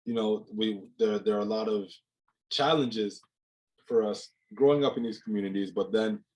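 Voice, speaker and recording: A man's voice: lowish voice, moderate pace, darkish tone, rough recording. A young man from Jamaica, a guest on a video call, talking in a reflective, casual way with many fillers and repeated words. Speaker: guest, mental health speaker. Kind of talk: casual interview conversation. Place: home room, indoors.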